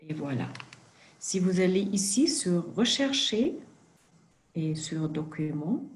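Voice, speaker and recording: A woman walks through a screen-share tutorial in a fairly low voice. She speaks in a very slow, careful way and fills her pauses with frequent hesitations. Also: lively intonation. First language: not French. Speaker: presenter for a genealogy organization.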